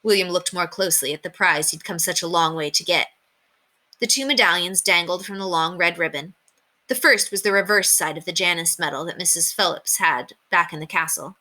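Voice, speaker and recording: Bright-voiced woman reading a storybook aloud. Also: lowish voice, a little fast. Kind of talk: expressive storybook narration.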